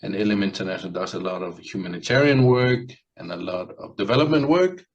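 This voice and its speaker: Guy, low gravelly voice, kinda muffled recording. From Denmark. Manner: measured, with fillers. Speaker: aid organization manager. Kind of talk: interview testimony.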